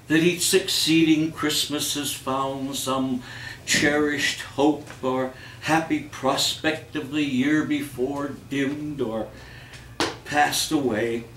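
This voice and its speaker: A man reading literary pieces aloud as a host, with measured, declamatory, deliberate phrasing. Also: mid-range voice, fairly bright tone. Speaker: reader and host of a holiday readers theater. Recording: echoey room, distant mic, faint noise.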